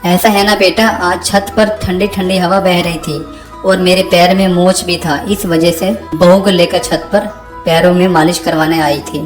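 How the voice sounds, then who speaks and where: A woman's voice: on the low side, steady tone, a little slow, close to an everyday voice. A woman voicing a sly witch mother-in-law who feigns frailty and sweetness in a horror cartoon. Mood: falsely sweet. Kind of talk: Hindi horror cartoon voice acting. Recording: roomy echo, music behind.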